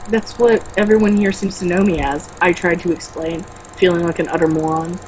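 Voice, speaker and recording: A woman's voice: fairly low pitch, steady tone, brisk, noisy background. A woman reading a book aloud as a co-host, mocking it with sarcastic, exasperated comments.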